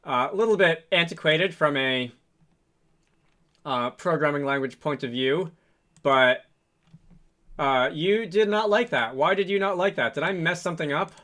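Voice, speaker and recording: A man hosting a casual programming tutorial, thinking aloud in a halting, hesitant way as he works through code on screen. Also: on the high side, expressive, pushed and bright. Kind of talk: casual screen-recorded coding walkthrough.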